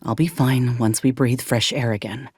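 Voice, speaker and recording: Weary tough soldier woman for a game, low voice, dry sound, earnest old frontier way of talking, fiercely principled. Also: steady tone, moderate pace, a little mellow, slightly rough, no echo. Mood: shaken, grieving. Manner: earnest, folksy old-fashioned frontier phrasing. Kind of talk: dramatic video game acting.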